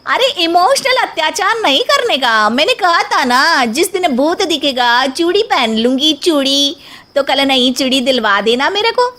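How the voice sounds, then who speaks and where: A woman acts out a cheeky young daughter-in-law for a family comedy story. Her voice is high and swoops expressively, delivered slowly and deliberately. She talks bossy, slangy and street-smart. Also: sing-song, pushed and bright, exaggerated voice. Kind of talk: animated Hindi story voice acting.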